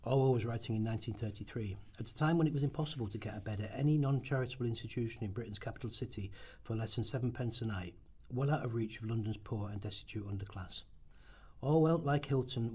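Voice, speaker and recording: Husky low-pitched man narrating. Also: quick pace, warm tone. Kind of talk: scripted documentary narration at a radio play rehearsal.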